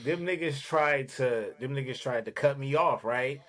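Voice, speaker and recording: A man from Los Angeles, a podcast guest, telling a casual, streetwise story in an animated, profane way. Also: medium pitch, normal speed.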